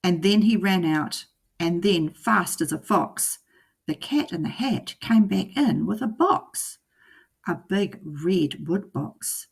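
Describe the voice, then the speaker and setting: A woman, a teacher, reading a children's story aloud in an expressive storytelling style. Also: fairly low pitch, takes it slowly, creaky, breathy edge.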